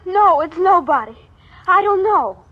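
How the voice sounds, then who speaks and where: A woman's voice: very high voice, animated, measured pace. An actress playing a defiant, frightened sixteen-year-old girl in an old-time radio drama, with clipped, guarded answers. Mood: distressed. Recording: muffled old radio recording, faint noise.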